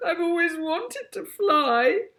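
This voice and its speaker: Woman putting on a high, weepy sentimental lady. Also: lively intonation, takes it slowly, a bit bright, exaggerated voice. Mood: wailing, envious. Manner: weepy, sniffly. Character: sniffling, sentimental, wistful grown woman.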